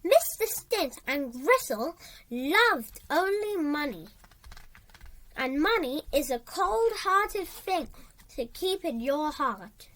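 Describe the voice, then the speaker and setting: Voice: high voice, big pitch swings, fairly bright tone, rough recording. A young child reading a storybook aloud, stumbling now and then and going back over words. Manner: halting, stumbles and rereads words. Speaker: storybook reader.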